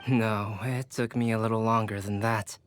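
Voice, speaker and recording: An actor voicing a calm, reserved young man in a video game, understated and soft-spoken. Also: on the low side, moderate pace, clear, resonant tone, no echo, soft background music, lightly acted.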